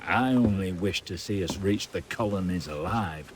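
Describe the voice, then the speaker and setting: An actor playing a wary, loyal sailor in a video game, talking in a guarded, plain-spoken way. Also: male voice, low voice, normal speed, noisy background, lightly acted. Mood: guarded, uneasy. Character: wary, loyal male sailor quietly passing on information. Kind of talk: video game cutscene acting.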